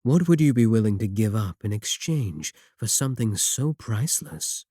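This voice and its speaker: Man acting as a courteous warlock, dark soft timbre, expressive swooping intonation, flattering, manipulative, fantasy roleplay audio. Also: fairly low pitch, no echo, clean studio sound. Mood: flirtatious, coaxing. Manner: unhurried, polite, coaxing, flattering. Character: smooth, courteous, manipulative male warlock shopkeeper, flattering and flirtatious.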